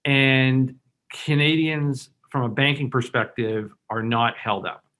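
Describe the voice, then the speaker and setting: A man's voice: medium pitch, fairly even intonation, takes it slowly, a bit bright. Bank executive panelist, male, who grew up in Hong Kong: earnest, persuasive, stop-start delivery. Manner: earnest, persuasive, many restarts and fillers. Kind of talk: webinar panel discussion.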